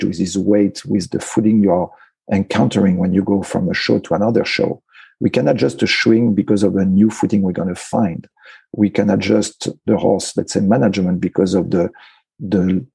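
A man's voice: on the low side, vocal fry, mellow, breathy edge, no room sound. A man, a veterinarian, answering interview questions in careful English, thoughtful and full of fillers. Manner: thoughtful, non-native phrasing with frequent fillers. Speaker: equine veterinarian guest.

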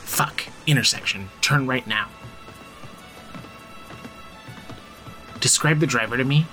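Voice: mid-range voice, fairly quick, sharp tone, soft background music, lightly acted. An actor playing a jaded, bossy, sardonic insider of a crime family in a modern audio drama. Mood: urgent, commanding. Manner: sardonic, sweary, commanding.

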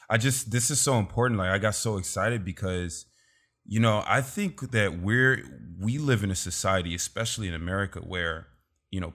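A man's voice: low voice, fairly bright tone. A man hosting a podcast over a remote call, laid-back and chatty, laughing often and saying "man" a lot. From Los Angeles. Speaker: podcast host. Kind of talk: casual video-call interview.

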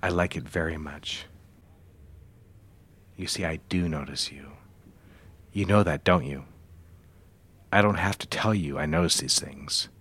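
An actor playing a controlling, smooth advertising boss in a period office drama, speaking in a measured, insistent and commanding way. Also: male voice, a bass voice, a bit bright, full character voice. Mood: intimate, possessive. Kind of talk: period audio drama acting.

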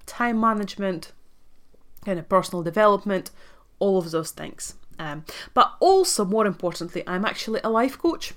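Chatty Latvian woman with Scottish-tinged accent. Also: medium pitch. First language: Russian. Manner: earnest and chatty, with frequent ums and light laughs. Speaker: podcast host and life coach. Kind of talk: solo podcast introduction.

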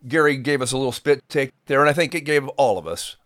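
A man's voice: mid-range voice, fast, a bit bright, dry recording, studio quality. A man co-hosting a podcast in a casual, conversational discussion style. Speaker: podcast co-host.

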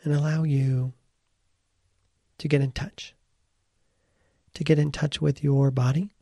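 Guy doing guided meditation, husky and crackly voice, short phrases w pauses, really dry close sound. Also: fairly high pitch, level tone, dark tone, no echo. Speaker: meditation teacher.

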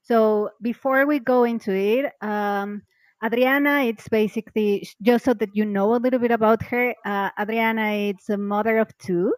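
A woman's voice: a little high, steady tone, normal speed, smooth, dry recording. A woman hosting a casual podcast interview, bubbly and chatty, laughing often. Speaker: podcast host.

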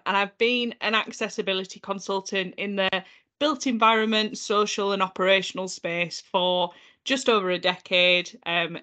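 A woman's voice: on the low side, sharp tone. A woman in her thirties with a Yorkshire accent, a podcast guest telling her own story with some repeated words. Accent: Northern English, Yorkshire. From North Yorkshire, England. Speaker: guest, accessibility consultant. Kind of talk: conversational podcast interview.

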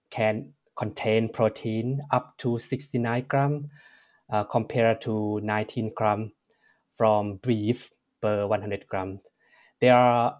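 A man from Thailand with a Thai accent, answering interview questions as a researcher, pausing often with fillers and correcting himself. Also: fairly low pitch, darkish tone. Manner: measured, frequent "uh" fillers and self-corrections. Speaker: researcher guest.

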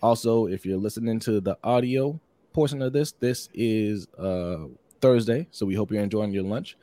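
Hoarse, low-voiced male podcast host. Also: normal speed, dry recording. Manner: upbeat, repeats phrases, many shout-outs. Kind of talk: casual live-stream chat, reading out viewer comments.

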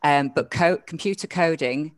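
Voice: mid-range voice, normal speed, no room sound. A podcast host in a casual interview, speaking thoughtfully, hesitating and correcting themselves often. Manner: thoughtful, hesitant, many fillers and self-corrections.

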